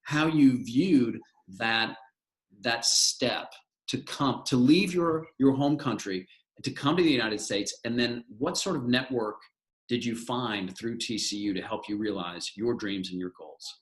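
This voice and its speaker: A middle-aged man leads a live panel discussion. He speaks enthusiastically, often doubling words as he builds a question. His pitch is ordinary, in the middle range. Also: measured pace. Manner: enthusiastic, repeats words while searching. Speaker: panel moderator, alumnus.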